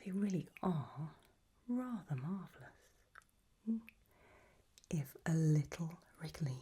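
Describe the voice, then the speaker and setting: A woman playing an elegant, macabre gothic hostess in a whispered ASMR roleplay, gracious and formal in manner, with a breathy voice. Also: sits low, expressive, slow, warm tone, heavily acted. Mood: gracious, darkly alluring. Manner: languid, formal, genteel.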